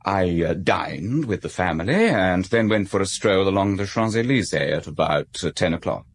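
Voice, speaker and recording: An actor playing an awkward, guarded middle-aged aristocrat in a radio drama, polite and halting with nervous hesitations. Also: male voice, low voice, breathy edge. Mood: embarrassed, evasive.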